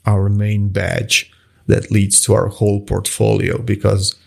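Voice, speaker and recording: A man's voice: lowish voice, monotone, measured pace, gravelly. A middle-aged man from Croatia, a native Croatian speaker, answering interview questions in a hesitant, casual way with many restarts. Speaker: guest, watch face designer.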